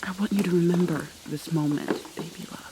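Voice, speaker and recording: A woman playing a possessive yandere captor in an ASMR roleplay, tender and menacing, coaxing with pet names in a soft, breathy voice. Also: fairly low pitch, steady tone, fairly quick, background noise, close to an everyday voice. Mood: cold menace.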